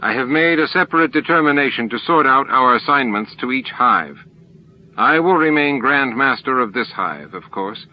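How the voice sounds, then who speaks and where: A man's voice: medium pitch, lively intonation, moderate pace, muffled recording, pitched above the performer's own voice, close to an everyday voice. A man voicing a self-assured alien hive grandmaster in a formal, authoritative, clipped manner. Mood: commanding, formal.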